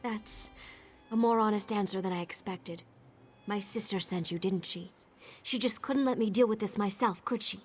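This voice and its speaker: A woman's voice: mid-range voice, normal speed, husky, soft background music, lightly acted. A woman playing a bitter, jaded younger sister who left a cult, guarded and sarcastic, in video game dialogue. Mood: bitter, resigned.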